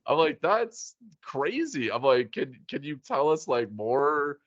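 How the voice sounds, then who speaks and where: A man's voice: on the high side, sing-song, slow pace, fairly bright tone, dry recording, muffled recording. A man chatting casually as a podcast host, in an informal, slangy way. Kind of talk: casual chat.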